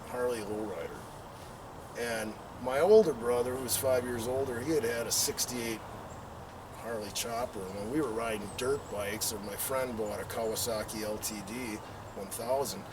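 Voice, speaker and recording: Middle-aged man storytelling, far from the mic. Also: mid-range voice. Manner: rambling, acts out quotes and engine sound effects. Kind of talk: animated casual anecdote telling. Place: outdoor backyard patio. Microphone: camera several meters away. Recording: distant mic, outdoor background noise.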